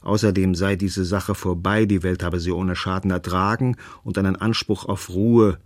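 Very deep-voiced man reading literary prose. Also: fairly even intonation, normal speed. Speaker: reader and narrator. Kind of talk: scripted literary radio narration.

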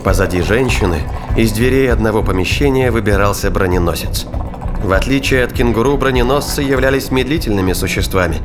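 A man's voice: lowish voice, brisk. A man giving a dramatic scripted narration of a science fiction thriller. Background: music.

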